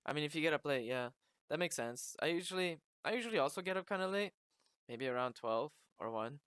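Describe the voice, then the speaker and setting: Smooth-voiced man hosting a podcast. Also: fairly high pitch, lively intonation, brisk, a bit bright, no echo, studio quality. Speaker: podcast host. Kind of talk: casual conversational interview.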